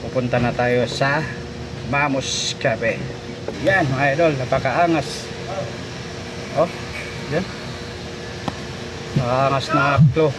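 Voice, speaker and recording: A man's voice: medium pitch, slow, pauses often. Noisy vlog audio, Filipino man, mid-range voice, casual motorcycle vlogger, informal repeated hype. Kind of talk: casual vlog chat to followers.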